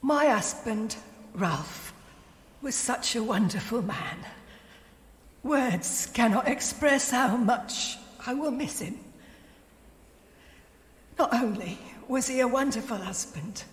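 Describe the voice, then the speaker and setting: A woman's voice: fairly high pitch, animated, takes it slowly, pauses often. A woman playing a grieving widow in her sixties, delivering a eulogy in an audio drama. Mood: solemn, loving grief. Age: 60s. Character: grieving elderly working-class widow giving a eulogy. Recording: echoey hall with long reverb.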